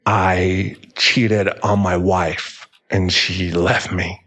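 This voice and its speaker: A man playing a bitter, guilt-ridden divorced husband in an audio roleplay, halting and emotional, with some swearing. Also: deep voice, level tone, fairly bright tone, voice pushed down, full character voice. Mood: remorseful, halting.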